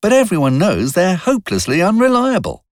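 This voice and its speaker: A man voicing a crisp, defensive young woman. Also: high-pitched, wildly swooping pitch, a little fast, no echo, studio quality, voice pushed up. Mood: dismissive. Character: sharp-tongued young professional woman, defensive.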